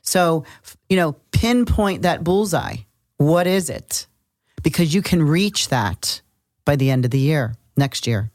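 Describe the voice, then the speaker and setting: Really deep voiced woman chatting on an astrology podcast, emphatic short bursts, super dry sound. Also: expressive, measured pace, darkish tone, dry recording. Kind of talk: casual conversational astrology talk.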